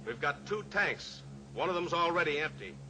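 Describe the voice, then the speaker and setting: Steely heroic pilot, high-ish male voice, noisy. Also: animated, moderate pace, sharp tone. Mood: grim, pressing. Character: steely heroic cowboy pilot, coolly pressuring a captive killer. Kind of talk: old-time radio drama acting. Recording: noisy old radio recording, engine drone.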